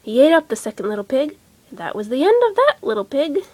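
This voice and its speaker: A woman storyteller with a high, animated voice reads bedtime tales to children. Her phrasing is dramatic and emphatic, and the recording is close and dry. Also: quick pace, no echo.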